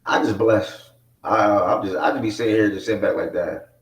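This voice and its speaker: Man, twenties, sports show host, casual rambling talk, low pitch, distant mic, roomy echo. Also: fairly even intonation, a little fast. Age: 20s. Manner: rambling, laid-back, many fillers. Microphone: mic not connected, picked up from a distance. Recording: echoey room, voice far from mic.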